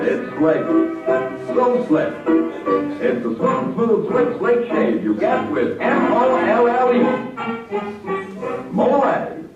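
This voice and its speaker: An actor playing an enthusiastic, sing-song pitchman in an old-time radio advertisement. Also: exaggerated voice. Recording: dull, echoey, distant stage recording. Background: music.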